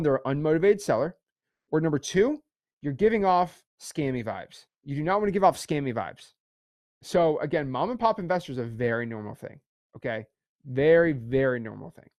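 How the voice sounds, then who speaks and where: A man's voice: fairly high pitch, a little fast, no echo, studio quality. Upbeat young man teaching on a livestream. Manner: energetic, repetitive, pep-talk delivery. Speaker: livestream course instructor.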